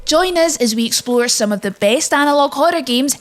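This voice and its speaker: A woman presents a scripted countdown in a high-pitched voice. She reads as a narrator guiding listeners through each entry. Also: animated, a little fast, a little hiss or hum. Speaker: narrator and presenter of a video game list.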